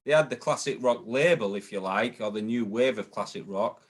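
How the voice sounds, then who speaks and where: A man's voice: lowish voice, brisk, slightly rough. A man, a guest in a casual interview chat, hesitant with many ums and repeated starts. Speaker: guest, booking agent.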